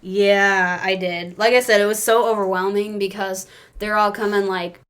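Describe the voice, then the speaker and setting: A woman co-hosting a podcast, telling anecdotes casually with an excitable, giggly manner and lots of "like". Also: medium pitch, moderate pace, clean tone. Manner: excitable, giggly, peppers talk with "like". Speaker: podcast co-host.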